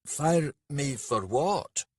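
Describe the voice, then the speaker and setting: A man voicing a surly, blunt servant who talks slowly and gruffly. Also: medium pitch, dry recording, clean studio sound, voice pushed up, heavily acted. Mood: angry. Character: surly working-class male servant, blunt and menacing.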